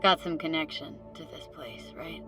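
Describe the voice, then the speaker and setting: An actress playing a curious, dryly supportive woman mercenary on a dive in a video game, speaking in a terse, low-key, questioning way. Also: steady tone, moderate pace, a bit bright, soft background music. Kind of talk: video game voice acting, casual dialogue.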